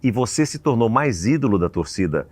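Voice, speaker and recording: A man's voice: medium pitch, very animated, a little mellow, dry recording. A man hosting an interview, asking long questions and affirming the guest. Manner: fast, talkative, affirming. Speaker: host.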